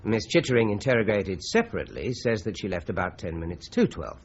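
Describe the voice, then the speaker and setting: A courteous British detective inspector in an eighties radio drama. He is businesslike and methodical, with a widely varied pitch. The recording is dull, with some background hiss. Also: very animated. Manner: measured, businesslike, politely probing. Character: methodical, courteous male police inspector questioning suspects. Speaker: actor in a radio crime drama.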